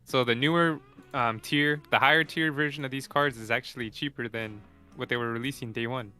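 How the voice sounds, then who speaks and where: Young male co-host, bright crisp voice, relaxed and plain-spoken. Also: medium pitch, brisk, soft background music. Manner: easygoing, explains plainly with fillers. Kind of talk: casual podcast chat.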